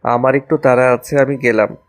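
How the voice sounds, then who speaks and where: A man's voice: mid-range voice, fairly even intonation, moderate pace, faint music behind, natural voice. A man playing a sulky, proud young college student in a romantic audio drama, curt and aggrieved. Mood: sulky, distant. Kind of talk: romantic audio story acting.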